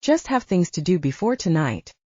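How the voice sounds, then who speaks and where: Woman acting a husky, defiant male werewolf lawman. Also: on the low side, big pitch swings, moderate pace, warm tone, no room sound, highs cut off. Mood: defensive. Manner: terse, stubborn. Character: defiant, defensive younger werewolf brother, adult male lawman.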